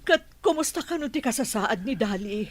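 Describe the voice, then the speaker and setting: A woman's voice: on the high side, lively intonation, moderate pace. A woman playing a caring, worried relative in a radio drama, speaking earnestly. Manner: concerned, earnest.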